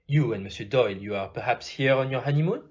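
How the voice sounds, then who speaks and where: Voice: mid-range voice, fast, darkish tone, husky, close to an everyday voice. A performer voicing a courteous, shrewd, fatherly male detective in an audio play, with formal, theatrical phrasing and a put-on French accent. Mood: courteous, probing. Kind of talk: amateur audio play acting. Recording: dull, muffled lo-fi.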